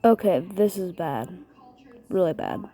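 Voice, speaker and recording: A woman's voice: fairly low pitch, big pitch swings, mellow, exaggerated voice. A woman voicing a nervous woman facing a storm. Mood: alarmed. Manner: worried, quick exclamations.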